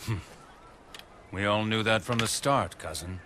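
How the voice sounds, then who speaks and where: An actor playing a blunt, loyal and confident warrior in a video game cutscene, with a gruff manner. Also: male voice, lowish voice, measured pace, bright, forceful tone, slight background noise. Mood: stoic, probing.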